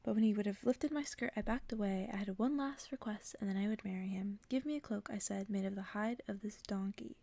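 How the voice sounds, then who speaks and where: A woman reading a story aloud as ASMR in an intimate, hushed whisper. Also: medium pitch, a little fast, creaky, hardly any pauses. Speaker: ASMR reader.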